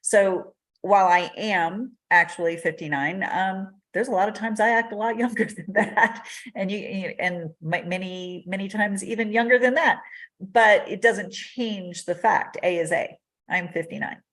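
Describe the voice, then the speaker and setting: A woman's voice: mid-range voice, measured pace, a bit bright. A woman in her fifties on a casual panel, speaking in a reflective, personal way. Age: 50s.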